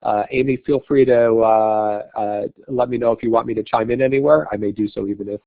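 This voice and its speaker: A man co-presenting a webinar, speaking informally in a hesitant, halting way. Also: on the low side, fairly even intonation, gravelly, dry recording. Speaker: co-presenter, report co-author. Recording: dry narrow phone-like line.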